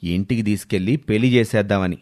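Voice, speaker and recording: Guy doing a strict middle-aged father in a story, dismissive, scornful, gruff. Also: lowish voice, quick pace, a little mellow, voice pushed down, natural voice.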